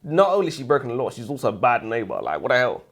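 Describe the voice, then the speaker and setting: A man chats casually about books as a co-host. His pitch leaps around dramatically and his voice has a husky grain. He talks in slangy, exclamatory bursts, often sounding comically incredulous. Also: sing-song, very fast. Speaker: co-host of a book review show. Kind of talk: casual comedic book discussion. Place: warm room, seated in comfy chairs.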